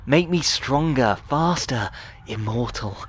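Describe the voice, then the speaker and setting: A man's voice: medium pitch, animated, slow, breathy edge, voice pushed up. A man voicing a male expedition member in a dramatised sci-fi audiobook, speaking with intense emphasis. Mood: manic glee. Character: male expedition member, sceptical, turning madly gleeful.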